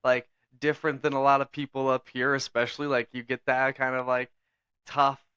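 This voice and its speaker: A man's voice: medium pitch, level tone, normal speed, bright, forceful tone, smooth, no room sound, highs cut off. A young man hosting a sports podcast, asking his guest loose, rambling questions in an easygoing way. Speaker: podcast host. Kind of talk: casual interview.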